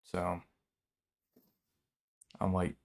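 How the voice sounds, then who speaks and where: Stuffy-nosed man reacting slowly. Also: low-pitched. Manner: blunt, opinionated, excitable. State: stuffy nose from a sinus infection. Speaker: video creator reacting to a stream. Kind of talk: casual reaction commentary.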